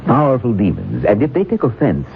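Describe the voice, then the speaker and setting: A man's voice: medium pitch, huge pitch swings, vocal fry. An actor playing a suave, menacing male occultist author in a 1970s radio drama, talking in a silky, mocking way. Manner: silky, mocking, slow and deliberate. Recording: tinny lo-fi radio broadcast with faint hiss.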